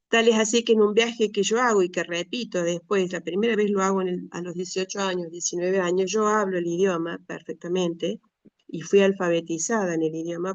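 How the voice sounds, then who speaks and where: A middle-aged woman with an Argentine Spanish accent gives an earnest, measured personal talk with frequent pauses and restarts. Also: on the low side, a little mellow, dry recording, dull audio. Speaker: speaker, retired school director.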